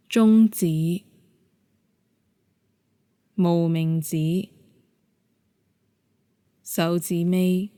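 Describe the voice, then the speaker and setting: A woman with a Hong Kong Cantonese accent leading a guided meditation in a calm, gentle, measured manner. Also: on the low side, no echo. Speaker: yoga nidra meditation guide.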